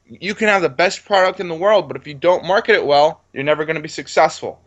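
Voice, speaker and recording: A man in his twenties with a slightly high voice pitches his business in a conversational interview. He sounds sure of himself and a bit boastful, stressing points through repetition. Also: quick pace, a bit bright, muffled recording. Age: 20s. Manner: self-assured, boastful. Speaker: entrepreneur interview guest.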